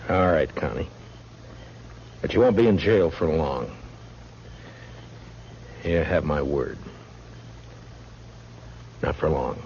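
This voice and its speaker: An actor playing a wry, weary US marshal with an American accent in an old-time radio western. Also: male voice, a bass voice, animated, slow pace, pauses often, a little mellow, heavily acted. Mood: grave, regretful. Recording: dull old radio recording, some background noise.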